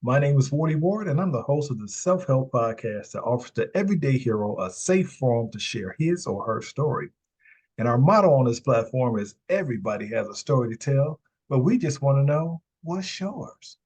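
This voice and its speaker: A man's voice: mid-range voice, expressive, normal speed, darkish tone, husky. A man hosting an interview podcast, upbeat in a scripted intro, then asking chatty questions with repeated words. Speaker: podcast host.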